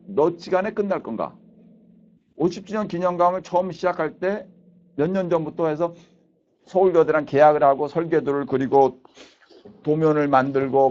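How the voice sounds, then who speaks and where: Korean guy in his 50s giving a lecture, kinda high voice, repeats key points, muffled lo-fi recording. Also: darkish tone. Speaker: university professor, lecturer. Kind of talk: recorded lecture explaining concepts for exams.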